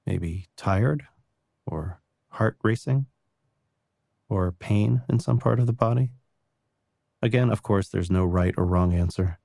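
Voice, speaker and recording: A man guiding a meditation in a calm, gentle and unhurried way, reassuring the listener with soft spoken instructions. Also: sits low, vocal fry, no echo, clean studio sound. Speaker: meditation guide.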